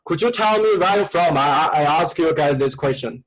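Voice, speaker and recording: A man's voice: on the high side, expressive, fairly quick, low-quality recording. Coaxing Chinese-accented male teacher. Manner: repetitive, coaxing prompts in broken English. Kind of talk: online language class.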